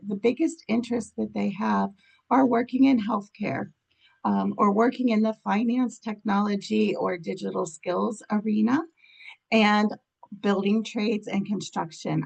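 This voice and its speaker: A woman's voice: mid-range voice, creaky, darkish tone, slightly rough. A woman with a slight cold and scratchy voice, giving an informal explanatory presentation as a program officer.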